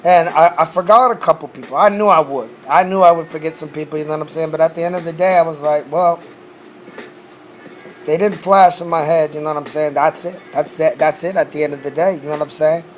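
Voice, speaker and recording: A man's voice: a little high, fairly even intonation, fairly quick, soft background music. Laid-back man with a fading voice. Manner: laid-back, profane, says "you know what I'm saying" often. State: losing his voice. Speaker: battle rap reviewer. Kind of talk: casual recap vlog.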